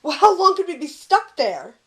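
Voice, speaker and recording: A young woman playing an earnest, startled student in a heist crew, excitable and questioning, in an amateur audio drama. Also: very high-pitched, normal speed, heavily acted. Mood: startled, defensive.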